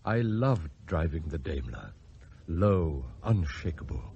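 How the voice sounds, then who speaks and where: An actor playing a scheming, cultured English butler in a radio drama, articulate, pompous and confiding. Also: male voice, a bass voice, big pitch swings, slow pace, gravelly, darkish tone, dull audio, slight background noise, heavily acted. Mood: smug. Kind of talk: radio drama acting, first-person narration and dialogue.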